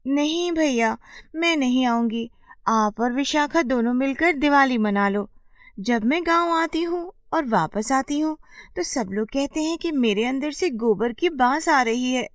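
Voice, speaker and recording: A woman acts out a proud young village woman from a cartoon bedtime story. Her voice is high and very lively, with an impatient, complaining edge. She sounds self-important and hungry for a richer life, recorded close and dry. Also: a little slow, dry recording. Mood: dismissive. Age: young adult.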